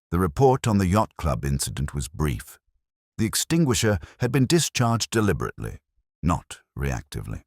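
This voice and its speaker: A man reading scripted mystery fiction as an audiobook narrator, with a measured, literary and dryly wry delivery. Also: sits low, no echo, clean studio sound.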